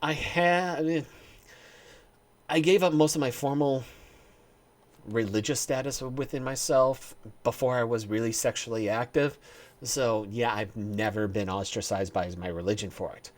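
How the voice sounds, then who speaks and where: A man's voice: medium pitch, moderate pace. A man with a Northern US accent reads a quiz aloud in a casual vlog. He reads each statement and then answers it with wry asides. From northern United States. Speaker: vlogger.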